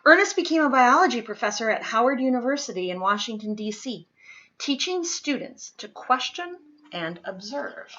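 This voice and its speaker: A woman reading a children's nonfiction picture book aloud, with deliberate, emphatic phrasing for young listeners. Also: fairly high pitch, big pitch swings, a bit bright, lo-fi sound. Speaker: read-aloud reader.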